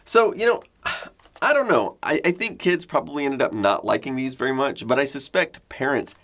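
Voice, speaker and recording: A man's voice: medium pitch, lively intonation, moderate pace, a bit bright. A man in his forties hosting a tech video, explaining things in a conversational way. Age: 40s. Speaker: host of a retro technology video channel.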